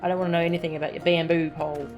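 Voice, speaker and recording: A woman streaming a game, making quick playful asides and reading aloud. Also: sits low, flat delivery, darkish tone, soft background music. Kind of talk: casual live gameplay chat.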